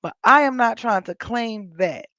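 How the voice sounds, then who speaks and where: Raspy American woman livestreaming, dull dry sound. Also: on the high side, a little slow, sharp tone, no room sound. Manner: rambling, unfiltered, folksy. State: coming down with a cold, scratchy throat. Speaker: livestream host. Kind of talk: casual live chat with viewers. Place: indoors at home, in an office.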